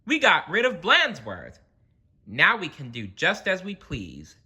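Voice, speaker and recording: A storybook reader voicing excitable, mischievous schoolchildren with eager exclamations. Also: medium pitch, measured pace, breathy edge, pitched above the performer's own voice, exaggerated voice. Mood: gleeful.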